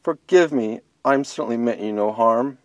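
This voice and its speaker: A man voicing a timid little blind girl bunny, talking in a soft, pleading, wavering way. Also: medium pitch, normal speed, dry recording, close to an everyday voice. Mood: apologetic.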